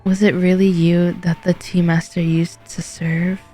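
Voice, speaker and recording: A woman's voice: fairly low pitch, little pitch movement, unhurried, warm tone, soft background music. A woman streaming a game, giving informal, emotive reaction commentary into a close mic. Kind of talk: casual gameplay reaction commentary.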